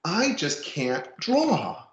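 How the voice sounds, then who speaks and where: A man's voice: fairly high pitch, wildly swooping pitch, very slow, roomy sound, muffled recording, pitched above the performer's own voice, heavily acted. A man reading a picture book, voicing an annoyed, discouraged schoolgirl who doubts her drawing. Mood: grumpy, discouraged. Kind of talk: picture-book read-aloud.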